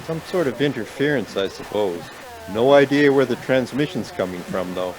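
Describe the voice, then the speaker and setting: A man's voice: medium pitch, animated, normal speed, lightly acted, low-quality recording. An actor in a radio play voicing an anxious, self-deprecating man stranded in a snowstorm. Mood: uneasy. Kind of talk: naturalistic radio play acting.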